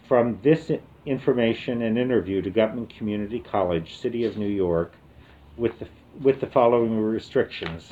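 A seventy-year-old man from the Midwest talks through his memories in an oral history interview, stopping often with "uh" and "um". His voice sits on the low side, and the recording is dull and muffled. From American Midwest. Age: 70s. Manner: hesitant, with fillers and pauses. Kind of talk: relaxed reminiscing with reading aloud.

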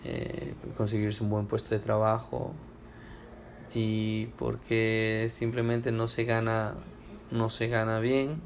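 A man's voice: lowish voice, flat delivery, slow pace, gravelly, a little hiss or hum. A young man from Ecuador answering oral history questions at home, hesitant with many fillers and restarts. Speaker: interviewee.

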